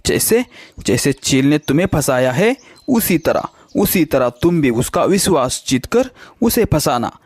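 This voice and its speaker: A man voices a wise, loyal crow in a children's cartoon. He chides and instructs his friend in a fairly high male voice, repeating his phrases as he lays out plans. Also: very animated, measured pace, breathy edge, no echo, heavily acted. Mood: resolute, plotting. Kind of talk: children's cartoon story acting.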